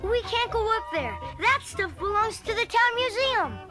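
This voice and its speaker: An actor voicing an earnest, determined young kid in a storybook read-along, speaking in an urgent, animated way. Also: very high-pitched, normal speed, over music. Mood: wistful, earnest.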